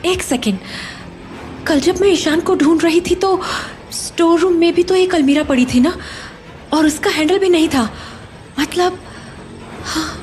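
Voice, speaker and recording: A woman's voice: extremely high pitch, measured pace, pauses often, a little mellow, a little hiss or hum. A woman playing an anxious, loving young mother in an audio horror drama, speaking hesitantly and repeating her words with emotion. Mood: puzzled, searching.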